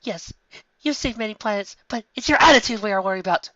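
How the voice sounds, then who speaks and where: A man's voice: high voice, fast, bright, forceful tone, dry recording, dull audio, voice pushed up. A man reading a fan story aloud, voicing a stern, guilt-tripping ghostly mother who scolds her grown son. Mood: scolding. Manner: strict, scolding.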